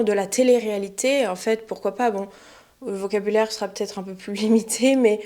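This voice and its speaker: A young woman, a French teacher, giving a relaxed spoken lesson to learners, chatty and often pausing with fillers and restarts. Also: medium pitch. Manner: chatty, many fillers and self-corrections.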